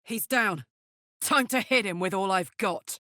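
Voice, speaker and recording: A woman playing a brash, big-hearted tiefling barbarian in a video game, blunt, earthy and expressive. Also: mid-range voice, measured pace, no room sound, studio quality. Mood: battle-fired. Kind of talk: video game character voice lines.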